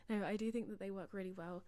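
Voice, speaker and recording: A young woman talks over her game footage in a loose, rambling way, cutting in with little self-deprecating asides. Her voice is slightly husky, as if she is losing it, and it sits at a middling pitch. The recording is close and dry, with no room sound. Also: mellow, no echo. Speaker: gaming channel host. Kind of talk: casual voice-over commentary.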